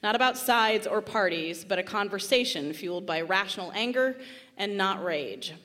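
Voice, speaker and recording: A woman's voice: medium pitch, animated, normal speed, fairly bright tone. A woman presenting and interviewing as host of a live radio stage show. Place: large hall before a live audience. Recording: reverberant live stage hall.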